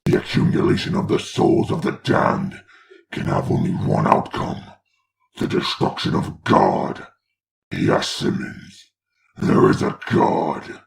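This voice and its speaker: A man voicing an imperious male demon lord of hell in a fan comic dub, raspy and menacing in slow speeches. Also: expressive, a little hiss or hum, exaggerated voice. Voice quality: deep, raspy.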